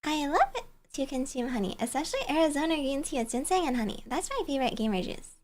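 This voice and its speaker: A woman's voice: high-pitched, big pitch swings, quick pace. A woman livestreamer chatting casually and greeting viewers in a bubbly, giggly, cutesy and playful manner. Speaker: livestreamer, virtual bear-girl host.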